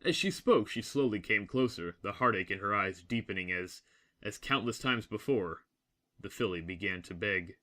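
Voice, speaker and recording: Low, bright-voiced man narrating a story. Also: lively intonation, moderate pace. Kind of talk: dramatic scripted story narration.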